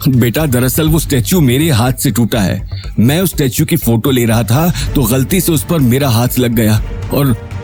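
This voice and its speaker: ऑडियो ड्रामा में एक आदमी पचास पार के डरपोक, स्वार्थी, गिड़गिड़ाते और हुक्म चलाते ससुर का किरदार निभा रहा है।. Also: male voice, medium pitch, moderate pace, a little mellow, faint music behind, close to an everyday voice. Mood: pleading. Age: 50s. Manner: pleading, hesitant, bossy. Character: cowardly, pleading, selfish father-in-law in his fifties.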